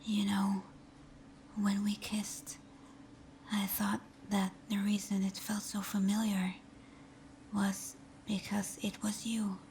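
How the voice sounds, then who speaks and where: A woman's voice: medium pitch, slight background noise. Woman acting a timid, whispering villainess. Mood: anxious, tender. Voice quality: soft, breathy whisper. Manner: hesitant, halting, intimate. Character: timid, tender female fox supervillain confessing to best friend. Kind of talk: whispered ASMR roleplay.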